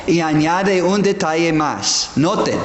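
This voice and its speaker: A man preaching a sermon as a pastor, stressing words slowly and restarting phrases. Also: a little high, animated, highs cut off, a little hiss or hum. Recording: echoey hall with faint ambience.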